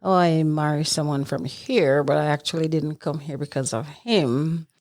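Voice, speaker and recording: Deep-voiced middle-aged Jamaican woman in conversation. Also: fairly even intonation, normal speed, darkish tone, dry recording, studio quality. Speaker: podcast guest. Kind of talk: conversational personal storytelling.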